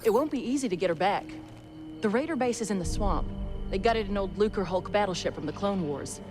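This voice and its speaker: A woman's voice: mid-range voice, animated, brisk, close to an everyday voice. A woman playing a tough, laconic frontier fighter with folksy turns of phrase in a video game. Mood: wary, tough. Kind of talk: cinematic video game acting. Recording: music behind dialogue.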